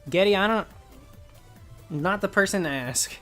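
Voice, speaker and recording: A man's voice: sits high, a little slow, bright, forceful tone. A man live streaming a game, explaining his opinions in casual, informal chat while his voice starts to give out. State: losing his voice. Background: music.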